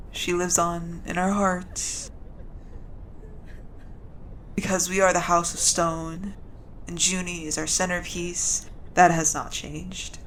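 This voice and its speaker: A woman playing a grieving, solemn speaker at a noble family's funeral in a fantasy audio drama, with measured, halting delivery. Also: on the low side, fairly bright tone, slight background noise, low-quality recording. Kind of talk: audio drama eulogy with solemn scripture-like reading.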